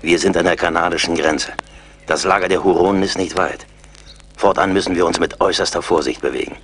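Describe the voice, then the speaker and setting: Casting: confident woodsman scout in radio drama, very low voice, wry, faint noise behind. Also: steady tone, brisk, a bit bright. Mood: alert. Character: seasoned frontier scout, confident, wry, steady leader. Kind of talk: German radio play acting.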